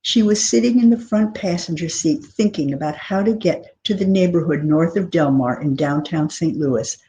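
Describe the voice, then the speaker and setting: A woman author giving an expressive literary reading of scripted narration from a novel. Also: lowish voice, normal speed, rough recording. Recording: clear background noise.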